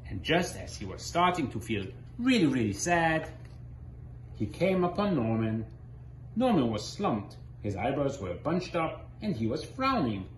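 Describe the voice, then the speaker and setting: A man in his thirties reading a children's story aloud in an expressive, deliberate way in a living room. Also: mid-range voice. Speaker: guest storybook reader. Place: small indoor living room. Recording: echoey living room, faint background noise.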